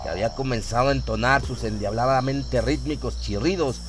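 A man reading a scripted horror story as a narrator, in a dramatic, suspenseful manner with drawn-out phrasing. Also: medium pitch, very noisy. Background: music.

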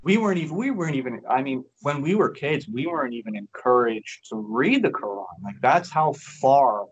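A man's voice: mid-range voice, animated, moderate pace, slightly rough, lo-fi sound. A man in his thirties from Canada, a guest talking frankly on a video call, with many restarts and fillers. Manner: frank and chatty, many restarts and "you know". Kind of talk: casual interview on a video call. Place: indoors by a large window.

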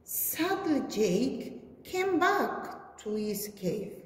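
A woman's voice: sits high, very animated, very slow. A woman preschool teacher reading a story aloud to small children in simple, repeated phrases with exaggerated sing-song emphasis. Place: indoor classroom. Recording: echoey room with long reverb.

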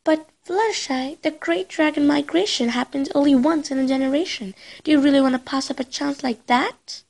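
A woman voicing a bookish young pony princess from a cartoon, earnest, excitable and dramatic in her delivery. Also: high voice, normal speed, creaky. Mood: urging, annoyed. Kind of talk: cartoon character acting.

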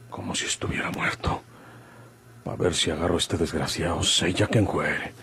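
An actor playing a bold, hot-tempered young outlaw with a rural Mexican accent and an emphatic rustic manner, in an old radio drama. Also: male voice, low voice, slight background noise, natural voice. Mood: hushed, wary. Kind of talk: golden-age Mexican radio drama acting.

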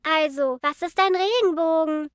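A woman's voice: very high voice, moderate pace, crowd noise. A woman voicing a cheerful, curious little girl who explains things simply in a children's educational cartoon. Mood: instructive. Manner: clear, simple, instructive. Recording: music behind the voice.

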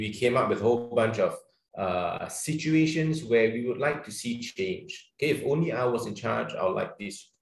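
A man with a Singaporean accent runs an online training session. He talks in a loose, chatty way, full of fillers and restarts, at a middling pitch. His voice comes through with a roomy echo. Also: a little hiss or hum. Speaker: webinar trainer. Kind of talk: casual online workshop presentation.

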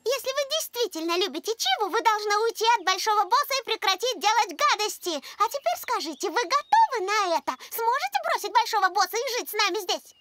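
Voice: very high voice, animated, fast talker, hardly any pauses, loud music behind the voice. An actor voicing a cute, vain young female forest animal in a cartoon, coy and a little bossy. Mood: testing. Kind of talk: cartoon dub acting.

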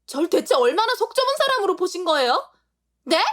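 A woman playing a blunt, feisty young woman who helps at her mother's flower shop, scolding in a sharp manner. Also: extremely high pitch, very animated, brisk, full character voice. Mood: indignant. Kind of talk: amateur audio drama acting.

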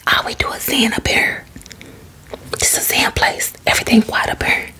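A woman playing a sassy, defensive salon beautician in a breathy, whispered ASMR roleplay, using colloquial, repetitive patter. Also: bright, forceful tone, lightly acted, rough recording. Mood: haughty, proud. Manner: slow, sassy, colloquial patter with repeated phrases.

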